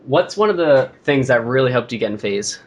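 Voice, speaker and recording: A man's voice: medium pitch, lively intonation, fairly quick. A teenage boy hosting a casual interview, asking friendly questions with frequent "um"s.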